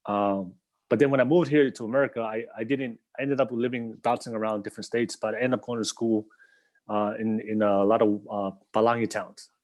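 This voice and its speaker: A man's voice: on the low side, fairly even intonation, brisk, a little mellow. A young man who grew up in Tonga, hosting a podcast interview in a loose, rambling way with lots of fillers and easy laughter. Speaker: podcast host. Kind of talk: casual interview chat.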